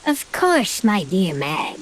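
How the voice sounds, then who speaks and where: A woman playing a sardonic, melodramatic witch in a horror-comedy radio play, speaking in a theatrical, drawn-out way. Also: mid-range voice, big pitch swings, a little hiss or hum. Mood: grave, resigned.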